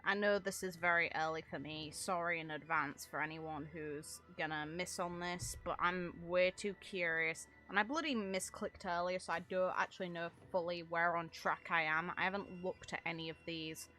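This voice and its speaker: A woman's voice: on the low side, fairly even intonation, moderate pace, few pauses, pushed and bright, faint music behind. A woman streaming a game with casual live commentary, rambling as she thinks aloud and swearing mildly.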